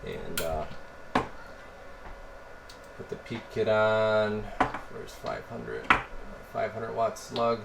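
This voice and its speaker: Deep american guy doing a casual tech demo, lots of background noise. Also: drawn-out words, long pauses. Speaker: CB radio technician demonstrating equipment. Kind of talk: casual hands-on demonstration. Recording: steady noise behind the voice. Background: clear background noise.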